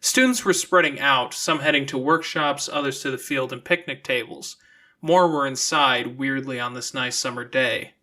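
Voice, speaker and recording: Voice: medium pitch, moderate pace, pushed and bright. An audiobook narrator reading scripted fiction in a storytelling style.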